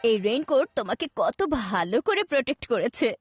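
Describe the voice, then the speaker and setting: A bossy, clever older brother in a dubbed cartoon, slightly high voice. Music plays clearly under the dialogue. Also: female voice, sing-song, fast talker. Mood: smug. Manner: smug, scheming.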